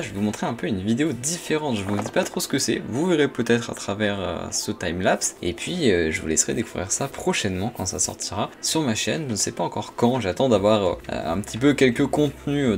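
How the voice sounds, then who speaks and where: A man's voice: on the low side, creaky, a little hiss or hum. A young man vlogging casually about his work week, chatty and loose with fillers and swearing, nursing a head cold. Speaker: vlogger, entrepreneur. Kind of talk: casual vlog chat.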